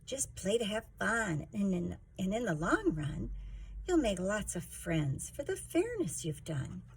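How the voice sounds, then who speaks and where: A woman voicing a gentle mother in a children's storybook, soothing and instructive. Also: mid-range voice, very animated, a little slow, a little hiss or hum. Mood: consoling. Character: gentle, consoling mother giving advice.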